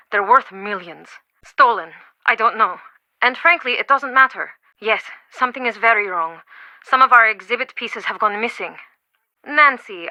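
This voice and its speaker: A woman's voice: fairly high pitch, pushed and bright, no room sound, low-quality recording. An actress playing an earnest, devoted and easily affronted woman museum curator in a video game, with dramatic, emphatic delivery. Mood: warm, grateful.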